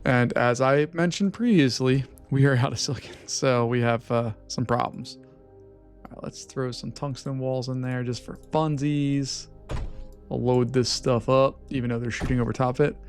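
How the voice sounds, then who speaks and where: Hoarse male gaming host, mid-pitched, chatty play-by-play over soft music. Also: steady tone, normal speed. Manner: chatty, talks to his game units. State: losing his voice, hoarse. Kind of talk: casual gameplay commentary.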